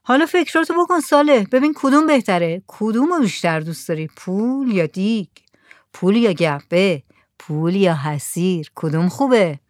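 Woman reading as a measured village headman. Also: medium pitch, expressive, no room sound, studio quality, natural voice. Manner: measured, weighing choices.

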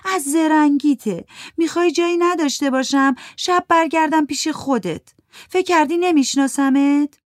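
A narrator voicing a sly, defiant young woman who speaks in a slow, scornful, accusing way. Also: sits high, no room sound, studio quality, voice pushed up, natural voice.

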